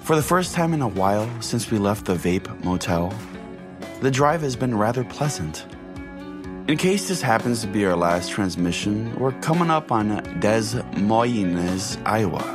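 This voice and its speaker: A man narrating a first-person story as a podcast host, with deliberate pauses and a dramatic delivery. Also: lowish voice, very animated. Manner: deliberate, pausing. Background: music.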